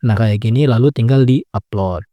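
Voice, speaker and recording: A man's voice: mid-range voice, moderate pace, mellow, no echo. A young man giving a casual, chatty step-by-step editing tutorial, laid-back with lots of filler tags. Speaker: tutorial creator. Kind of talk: casual screen-recording tutorial.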